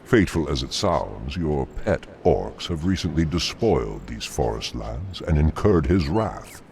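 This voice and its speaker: An actor playing a cunning demonic dreadlord in a fantasy game, with a gravelly, formal, sinister delivery. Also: male voice, rumbling low, sing-song, unhurried, a little mellow, slight background noise, full character voice. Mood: sinister, persuasive. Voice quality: gravelly, growling. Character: cunning demonic dreadlord, coldly scheming and persuasive. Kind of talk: fantasy video game acting.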